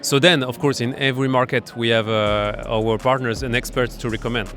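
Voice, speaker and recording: A man with a French accent, a startup accelerator founder being interviewed at a startup event, explaining his business in a halting way full of fillers and restarts. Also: mid-range voice, steady tone, a bit bright, faint music behind. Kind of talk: conversational interview.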